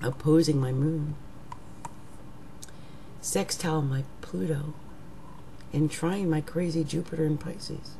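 A middle-aged woman speaks in a rough, raggedy voice, low and mellow, with a gravelly edge. She talks unhurriedly to a webcam at home, often trailing into near-whispers. A faint hum sits behind her. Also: fairly even intonation. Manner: slow, musing, trails into whispers. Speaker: astrologer. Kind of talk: casual monologue to webcam. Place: living room at home. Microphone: webcam at desk.

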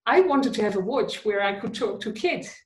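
A woman's voice: medium pitch, animated, moderate pace. Dutch woman, English second language, engaged podcast guest, roomy-sounding recording. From Netherlands. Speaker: guest, conversation designer. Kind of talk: podcast interview. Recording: roomy echo.

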